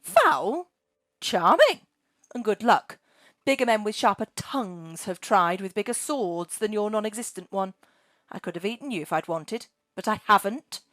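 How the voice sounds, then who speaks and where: A woman voicing a grumpy ancient female dragon who hoards books, talking in a shrill, snappish way. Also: very animated, normal speed, no echo, clean studio sound. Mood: huffy.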